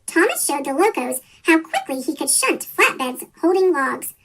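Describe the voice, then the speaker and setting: A children's story reader with a pitch-shifted chipmunk voice, reading a picture book aloud from a script. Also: big pitch swings, moderate pace, a bit bright. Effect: sped-up chipmunk pitch shift.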